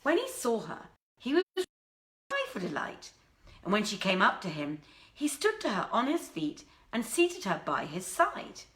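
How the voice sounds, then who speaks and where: A woman reading an old tale aloud as literary narration, theatrical and deliberate in her delivery. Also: medium pitch, wildly swooping pitch, a bit bright, roomy sound. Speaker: storyteller, reader.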